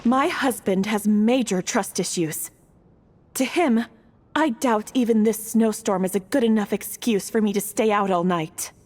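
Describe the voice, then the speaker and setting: A woman's voice: medium pitch, a little slow, darkish tone, lightly acted. An actress playing a sardonic, weary young married woman in a video game, speaking in a complaining manner with a reverberant sound. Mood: wry, resigned. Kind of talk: dramatic video game voice acting.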